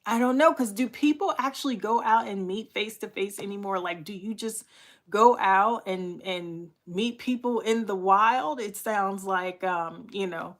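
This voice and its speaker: Slow-talking raspy woman hosting a live chat. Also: mid-range voice. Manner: chatty, laughing, greets viewers by name. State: raspy from recent flu. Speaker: livestream host. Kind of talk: casual livestream chat with viewers.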